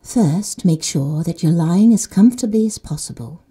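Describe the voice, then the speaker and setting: A woman leads a guided sleep meditation in a slow, soothing manner. She often drops to soft whispers. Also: on the low side, expressive, breathy edge. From Netherlands.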